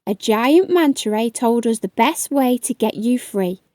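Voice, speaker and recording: A woman's voice: on the high side, animated, unhurried, warm tone, no room sound, studio quality. A woman voicing a kind, brave young female sea rescuer in a children's storybook, reassuring and upbeat. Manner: reassuring, cheery.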